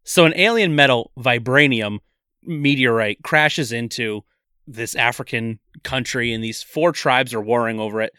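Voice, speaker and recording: A man's voice: medium pitch, bright, forceful tone, clear, resonant tone, dry recording, studio quality. A man co-hosting a casual movie podcast, retelling the plot with easy laughs. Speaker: podcast co-host.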